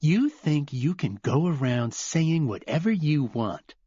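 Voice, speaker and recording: A man's voice: a little high, sing-song, slow pace, slightly rough, no room sound, muffled recording, pitched above the performer's own voice, exaggerated voice. A man voicing a bitter, belligerent rich man who grumbles and sneers, in an audiobook. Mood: cold. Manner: sneering and grumbling, then shouting.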